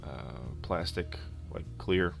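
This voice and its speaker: Deep-voiced man, halting DIY narration. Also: gravelly. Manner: halting, unscripted explaining. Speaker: DIY prop-making video host. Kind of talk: casual tutorial voice-over narration. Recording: instrumental music behind the voice. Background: music.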